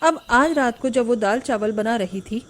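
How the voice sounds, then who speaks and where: A woman's voice: a little high, moderate pace, slight background noise. A woman reading scripted narration for a family cartoon story.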